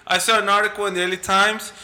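A man's voice: high-pitched, little pitch movement, fast, pushed and bright, echoey room. A man co-hosting a podcast, joking and swearing in casual banter, acting out quotes. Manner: joking, profane, acts out quotes. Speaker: podcast co-host.

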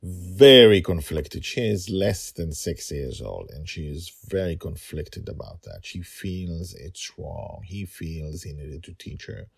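A middle-aged man narrating a story podcast as its host and author, deliberate, with dramatic pauses and emphatic repetition. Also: low-pitched, animated, gravelly, breathy edge.

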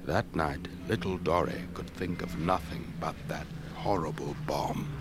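An actor playing an elderly English retired air force officer, urbane and measured, telling tales in a radio drama. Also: male voice, deep voice, very noisy. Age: older. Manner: measured, old-fashioned gentlemanly, theatrical raconteur.

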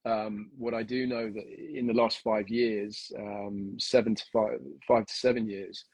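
A lower-pitched man from Kenya with a mixed British-Australian accent, explaining at length as a guest coach in a relaxed interview. His voice is a bit husky and very dry, with no room around it. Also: unhurried, no echo, rough recording. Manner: explanatory, many ums and restarts. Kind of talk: casual interview.

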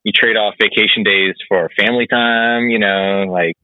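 Halting man in his thirties on a tinny line. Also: on the low side, fairly even intonation, no echo. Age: 30s. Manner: easygoing, halting, many fillers. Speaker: guest. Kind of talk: casual chat. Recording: tinny phone-like call line.

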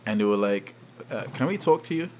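Animated man telling a story. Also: lowish voice, fairly quick, slight background noise. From Saint Kitts. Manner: animated, laughs often, acts out quotes. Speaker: podcast guest. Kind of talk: storytelling in casual conversation.

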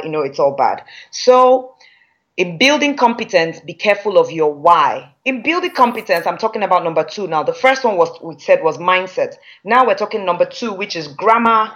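Nigerian woman trainer, emphatic, repeats points for weight, slightly muffled call audio. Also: on the low side. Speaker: public speaking trainer. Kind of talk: masterclass teaching.

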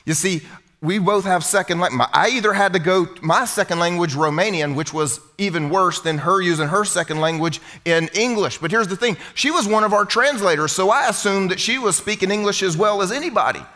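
A man's voice: high voice, fairly quick, sharp tone. A middle-aged man preaching a sermon to a congregation in a church hall, in a conversational, humorous way. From United States. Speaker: pastor.

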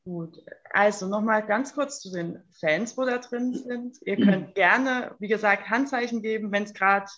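A woman's voice: mid-range voice, a little slow, a bit bright, lo-fi sound. A woman moderating a virtual fan talk, asking friendly, loosely phrased questions with fillers. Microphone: video call.

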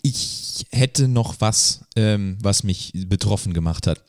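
A man's voice: fairly low pitch, slow, darkish tone, no echo, studio quality. A man co-hosting a podcast in casual, informal chat, dropping in English slang. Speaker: podcast co-host.

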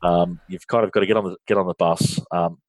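A man's voice: sits low, steady tone, fast talker, no echo. An Australian man, a guest in a business interview, explaining with frequent ums and restarts. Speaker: guest, finance outsourcing firm leader.

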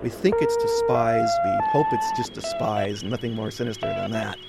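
A man's voice: fairly low pitch, huge pitch swings, moderate pace, creaky, over loud music. A man explaining a subject in an interview, emphatic and drily humorous. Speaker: interviewee, radio monitoring columnist.

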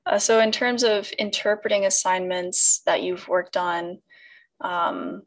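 Woman, lowish voice, interviewer, polite, curious. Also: fairly even intonation, unhurried, low-quality recording. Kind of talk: conversational interview questions.

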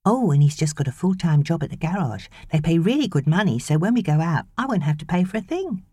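A woman's voice: on the low side, expressive, quick pace, mellow, husky, no echo, pitched above the performer's own voice. A British woman voicing a chirpy, slangy sixteen-year-old babysitter girl in an audiobook. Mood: gleeful, scheming.

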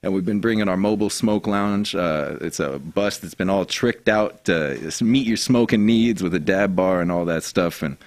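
Relaxed young man with a lowish voice. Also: normal speed, hardly any pauses, dry recording. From Seattle area. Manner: relaxed, laughs often, lots of fillers. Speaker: guest, company representative. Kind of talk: casual chat.

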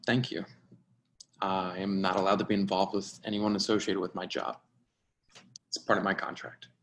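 An actor reads a polite, guarded professional in a screen drama. His voice sits a little low. He speaks hesitantly, with a nervous, reserved courtesy. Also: little pitch movement, normal speed. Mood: flustered. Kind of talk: dramatic screenplay table read.

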